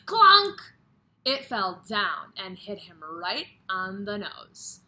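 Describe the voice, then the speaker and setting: Animated, bright-voiced woman teacher reading aloud. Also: medium pitch. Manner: expressive, slow, addressing young children directly. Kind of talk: animated children's story read-aloud with questions to pupils.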